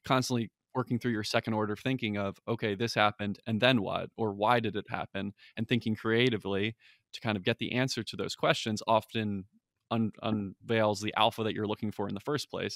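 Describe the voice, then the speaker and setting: Earnest young man, lowish voice. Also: fairly even intonation, brisk, a bit bright, no echo, studio quality. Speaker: analyst. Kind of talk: podcast interview answers.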